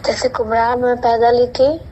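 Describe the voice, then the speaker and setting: A woman's voice: a little high, level tone, brisk, mellow. A young woman with a Bihari accent answering plainly and respectfully in casual speech on a phone call. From Patna, Bihar, India. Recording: muffled noisy phone call recording.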